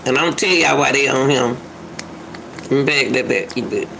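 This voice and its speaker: A woman's voice: a bass voice, brisk, vocal fry, background noise. A woman giving casual reaction commentary, musing aloud and repeating her phrases for emphasis. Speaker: commentator reacting to a phone call clip.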